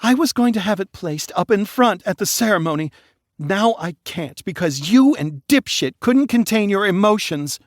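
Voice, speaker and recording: A man voicing a whiny young bride-to-be who is shrill and tearful. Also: expressive, normal speed, dry recording, clean studio sound. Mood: upset.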